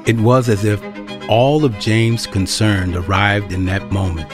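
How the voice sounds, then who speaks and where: A man's voice: lowish voice, steady tone, measured pace, over music. A man narrating a scripted audio drama in a wry storytelling manner.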